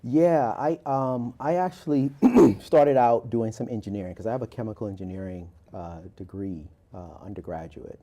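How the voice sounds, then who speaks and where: A man in his forties from Barbados, an author and guest, giving measured, thoughtful interview answers with many filler pauses. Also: lowish voice, warm tone.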